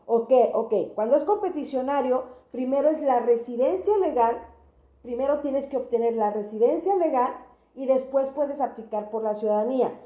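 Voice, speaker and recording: High-voiced woman in an echoey room. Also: warm tone. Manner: didactic, repeats phrases for emphasis. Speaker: host of an immigration advice channel. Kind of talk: informal explainer talk to viewers. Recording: echoey room, dull lo-fi sound.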